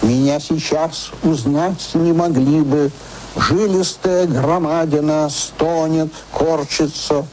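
A man declaims poetry with strong emphasis. He reads slowly in a fairly high voice, and faint noise sits under the recording. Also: steady tone, a little mellow, low-quality recording. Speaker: reader of verse. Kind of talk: archival poetry recitation.

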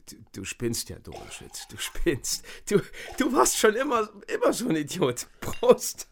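An actor playing a bitter, self-pitying former East German officer who drinks, agitated, stammering and maudlin, in a radio drama. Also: male voice, high voice, wildly swooping pitch, a little slow, vocal fry, slight background noise, heavily acted. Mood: indignant, maudlin. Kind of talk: radio crime drama acting.

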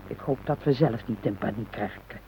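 An actress playing a fretful, nagging middle-aged wife in a naturalistic radio drama, earnest and reproachful, with a husky voice. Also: female voice, a bass voice, normal speed, warm tone, a little hiss or hum. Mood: anxious, nagging. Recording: tinny, phone-like radio recording.

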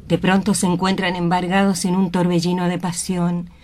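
Woman narrator for a radio play, dramatic scripted read, lowish voice. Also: monotone, moderate pace, darkish tone, a little hiss or hum.

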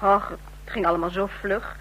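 A woman's voice: medium pitch, steady tone, normal speed, fairly bright tone, natural voice. A woman acting in a Dutch radio play as a polite, evasive office secretary. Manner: polite, deflecting. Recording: noisy, humming old radio recording.

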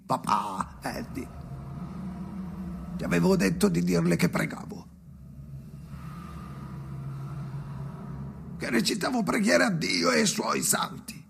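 A man's voice: high voice, huge pitch swings, measured pace, creaky, background noise, full character voice. An actor playing a bitter, haunted husband and father in a literary radio drama, brooding and theatrical. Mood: defensive. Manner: theatrical, brooding, swinging to sudden outbursts.

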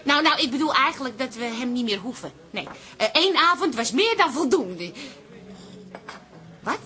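An actress playing a stubborn, down-to-earth pub landlady in a radio drama, plain-spoken and quick to defend herself. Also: high voice, animated, sharp tone. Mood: polite, flustered.

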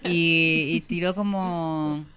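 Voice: medium pitch, fairly even intonation, drawn-out words, sharp tone. Argentine studio guest, mid-range voice, rambling and irreverent, loose joking chat full of slang. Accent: Argentine Spanish. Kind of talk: casual, joking group chat. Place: radio studio booth.

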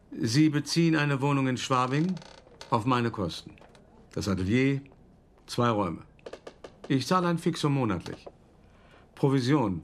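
An actor playing a calculating, urbane male art dealer who recounts his past deliberately and confidingly in a radio play monologue. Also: medium pitch, slight background noise, natural voice. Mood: businesslike. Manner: deliberate, confiding, addressing the listener directly. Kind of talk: first-person dramatic monologue in a German radio play.

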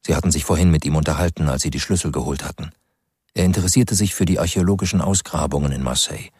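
A man narrating a scripted crime radio drama as a grave, sober storyteller with a measured delivery. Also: extremely low pitch, darkish tone, breathy edge, no echo, studio quality. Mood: grave, tense.

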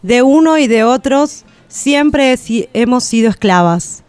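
A woman's voice: fairly high pitch, lively intonation, unhurried, a little mellow, smooth, dry recording, faint music behind. An actress playing an aching yet unbroken, defiant everywoman, reciting in solemn and emphatic poetic radio theatre.

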